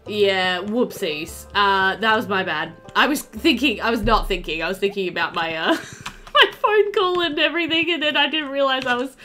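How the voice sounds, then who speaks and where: A woman's voice: mid-range voice, animated, normal speed, bright, forceful tone, soft background music. A young woman giving chatty, informal, giggly commentary while playing a video game. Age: young adult. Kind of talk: casual let's play commentary.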